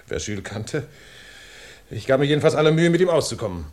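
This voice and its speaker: A man's voice: mid-range voice, very animated, brisk, a little mellow, lightly acted. An actor playing an uneasy, guarded businessman under questioning in a radio crime drama, hesitant and indignant when pressed. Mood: evasive, uneasy.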